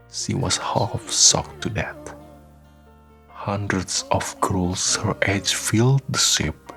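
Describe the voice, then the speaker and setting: A man narrating a scripted radio drama in measured, dramatic short phrases. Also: low voice, a bit bright.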